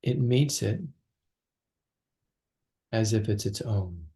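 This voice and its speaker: A man leading a guided meditation as a teacher, speaking gently and slowly with long pauses between phrases. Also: on the low side, lively intonation, vocal fry.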